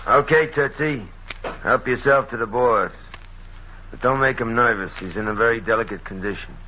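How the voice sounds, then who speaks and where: Wisecracking American sidekick, male actor, brash and slangy, mid-pitched, breezy tough-guy servant, muffled old radio drama sound. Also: moderate pace, slight background noise, natural voice. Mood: cheeky.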